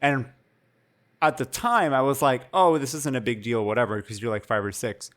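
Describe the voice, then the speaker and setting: A man's voice: mid-range voice, normal speed. Thoughtful man from Canada, co-host. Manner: thoughtful, reflective, hesitant. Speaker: podcast co-host. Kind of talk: casual chat.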